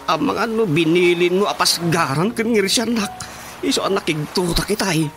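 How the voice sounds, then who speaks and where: A man's voice: sits high, moderate pace. An actor with an Ilocano accent playing a stammering, bashful male village councilman in a radio drama comedy, with music behind him. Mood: fumbling. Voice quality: stuttering, hesitant. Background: background music.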